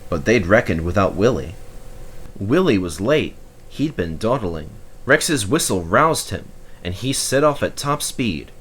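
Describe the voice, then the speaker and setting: A man's voice: lowish voice, big pitch swings, a little slow, fairly bright tone, husky. A man narrating a children's storybook expressively as its reader.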